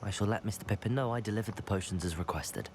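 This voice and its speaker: A man's voice: lowish voice, fast, close to an everyday voice. An actor playing a determined young male wizard student in a video game, speaking formally and politely. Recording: noisy game audio.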